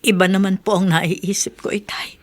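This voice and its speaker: A woman's voice: mid-range voice, little pitch movement, normal speed, natural voice. A Filipino woman acting in a radio drama as a wistful grown daughter and foster mother.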